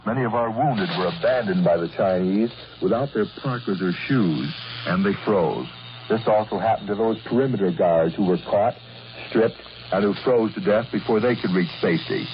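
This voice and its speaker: Low-pitched military man, formal statement. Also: normal speed. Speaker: military eyewitness. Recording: dull, faintly hissy old recording.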